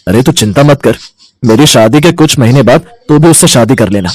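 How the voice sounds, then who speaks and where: A man's voice: mid-range voice, animated, fairly quick, dry recording, natural voice. A man playing an earnest, respectful young adult son in a cartoon family story, explaining himself. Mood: concerned. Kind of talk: Hindi cartoon bedtime-story character acting.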